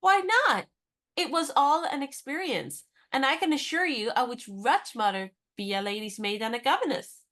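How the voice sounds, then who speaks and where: Woman acting a brisk, plucky young heroine. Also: high voice, animated, a bit bright. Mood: matter-of-fact, assured. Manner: brisk, forthright, encouraging. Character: brisk, plucky, independent American woman of twenty-three. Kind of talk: radio play comedy dialogue.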